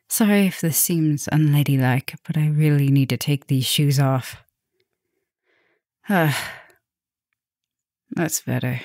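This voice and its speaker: A woman playing a flirtatious, caring, softly dominant vampire professor in an intimate roleplay ASMR, speaking softly and breathily with teasing drifts into whispers. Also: low-pitched, no room sound, clean studio sound.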